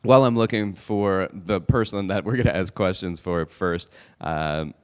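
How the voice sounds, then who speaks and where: Very deep-voiced man hosting a live podcast. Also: smooth. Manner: energetic, chatty presenter style with quick asides. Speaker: podcast host. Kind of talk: live podcast talk to a conference audience. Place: large conference hall with live audience. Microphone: handheld microphone.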